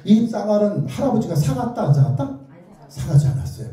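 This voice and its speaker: High-pitched animated man lecturing, echoey room. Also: fairly quick. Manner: theatrical storytelling, rhetorical either-or questions. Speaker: children's author and lecturer. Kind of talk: interactive lecture with questions to the audience. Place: indoor lecture room with strong echo. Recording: very echoey room, distant microphone.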